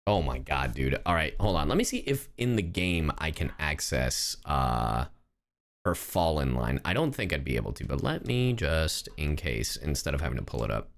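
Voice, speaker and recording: Deep-voiced man streaming, very animated pitch. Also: moderate pace, gravelly, slight background noise. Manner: informal, muttering and thinking aloud. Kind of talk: casual live reaction commentary.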